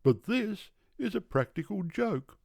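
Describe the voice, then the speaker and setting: Man putting on a high, complaining old kangaroo. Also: very animated, a little slow, vocal fry, darkish tone, dry recording, clean studio sound, full character voice. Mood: aggrieved. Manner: complaining, formally polite. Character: tired, aggrieved old male kangaroo, apologetic. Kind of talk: storybook character voice.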